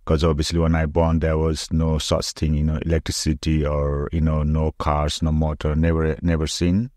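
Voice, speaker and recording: A middle-aged man from Nepal with a Nepali accent, telling his story casually and haltingly as an interview guest. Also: a bass voice, completely flat delivery, darkish tone, no echo, studio quality. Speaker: podcast guest, former soldier. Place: small indoor room with curtains. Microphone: close podcast microphone on arm.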